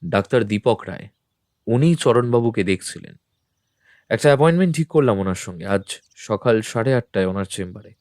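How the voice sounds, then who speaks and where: A man playing a composed, incisive detective in a Bengali audio drama, speaking in a measured, assured, businesslike way. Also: lowish voice, expressive, a little mellow, dry recording, clean studio sound, close to an everyday voice. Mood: composed, decisive. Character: composed, incisive male Bengali detective, a self-styled truth-seeker.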